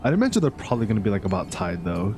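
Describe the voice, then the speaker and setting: A man's voice: lowish voice, very animated, very fast, music in the background. A man giving casual, rambling reaction commentary as a gaming streamer, laid-back and informal.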